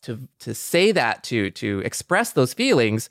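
A man's voice: mid-range voice, very animated, a little slow, fairly bright tone, no room sound, studio quality. A man co-hosting a podcast in reflective conversation, thinking aloud earnestly and repeating phrases for emphasis. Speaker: podcast co-host.

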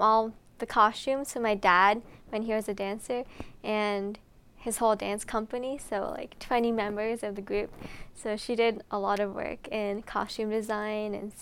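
A woman's voice: fairly high pitch, level tone, unhurried, a bit bright, smooth. A young American woman answering interview questions in a bubbly, giggly way, with frequent "like". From United States. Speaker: climber.

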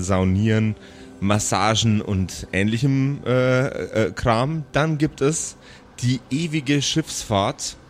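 A man's voice: medium pitch, a little hiss or hum. A man narrating an improvised tabletop story as game master, descriptive and searching for words as he goes.

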